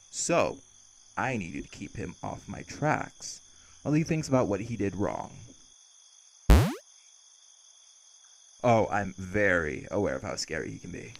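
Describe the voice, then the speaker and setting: Guy doing a cocky vampire in an audio rp, flirty and casually threatening, crackly fry in the voice, faint night insects. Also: lowish voice. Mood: chatty, wry.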